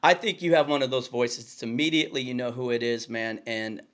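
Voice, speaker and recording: A man's voice: mid-range voice, fairly even intonation, fairly quick, a bit bright. A man hosting a casual interview, asking questions with enthusiasm and complimenting his guest. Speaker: podcast host.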